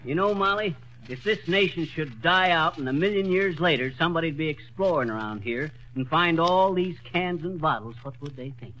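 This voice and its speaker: A man performs a boastful small-town husband spinning tall tales in a folksy drawl. The voice sits high and bright and swoops up and down. It comes from an old radio broadcast recording. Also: expressive, moderate pace, dull audio. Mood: yarn-spinning. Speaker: comedy lead. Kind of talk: 1940s radio comedy acting.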